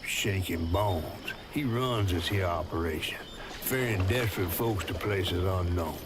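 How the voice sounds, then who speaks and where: An actor playing a folksy, grave Southern storyteller of swamp legends in a video game, with music underneath. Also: male voice, sits low, a little slow, very noisy. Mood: wary warning.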